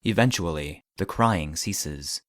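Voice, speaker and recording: An actor playing an impassive database voice, formally narrating incident logs in an audio drama. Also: male voice, sits low, slow pace, a bit bright, no echo, studio quality. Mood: detached. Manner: formal, detached. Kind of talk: scripted narration.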